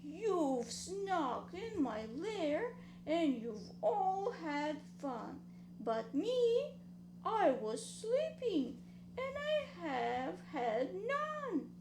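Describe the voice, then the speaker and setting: A woman voicing a big, grumpy, self-pitying bear with drawn-out grumbles in a children's storybook. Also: extremely high pitch, sing-song, echoey room, background noise, full character voice. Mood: aggrieved, whining.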